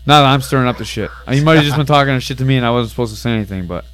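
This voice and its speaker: A man's voice: mid-range voice, racing, a bit bright. A middle-aged man chats as the host of a casual podcast. His mid-range voice carries teasing, foul-mouthed banter, thrown out in loose conversational talk. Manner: teasing, crude, sweary. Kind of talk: casual chat, ribbing banter.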